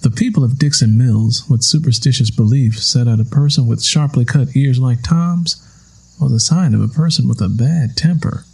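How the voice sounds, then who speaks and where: A man's voice: mid-range voice, moderate pace, gravelly, a little mellow, breathy edge, low-quality recording. A man reading a story aloud as scripted audiobook narration.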